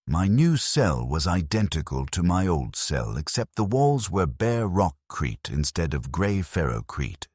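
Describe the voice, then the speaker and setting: A man narrating a first-person story in a measured, grave and detached way. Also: a bass voice, darkish tone, husky, no room sound, clean studio sound.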